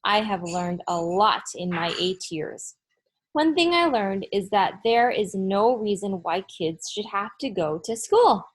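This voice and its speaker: A woman voices an eight-year-old second-grade boy telling his own storybook tale. He is blunt and opinionated about hating school, and he spells out his points slowly and emphatically. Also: mid-range voice, lively intonation, rough recording. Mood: emphatic complaint. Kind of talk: children's storybook read-aloud.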